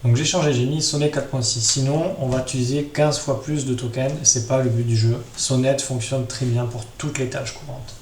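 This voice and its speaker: A man's voice: medium pitch, level tone, moderate pace, few pauses, darkish tone. Man explaining casually in an echoey room. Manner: informal, step-by-step explaining, addressing viewers directly. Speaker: tutorial host. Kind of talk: casual screen-share tutorial talk. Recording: roomy echo with clear background noise.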